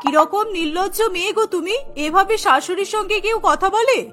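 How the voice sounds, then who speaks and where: A woman's voice: very high-pitched, a little fast, fairly bright tone, soft background music. A woman voicing a stern middle-aged mother-in-law in a cartoon, scolding and indignant. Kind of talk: Bengali cartoon voice acting.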